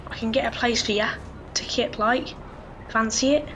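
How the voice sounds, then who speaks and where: A woman's voice: on the high side, steady tone, moderate pace, fairly bright tone, a little hiss or hum, close to an everyday voice, poor audio. Cheeky London street urchin boy, acted by a woman. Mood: cheeky, knowing. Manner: cocky, friendly, slangy. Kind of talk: audio drama acting.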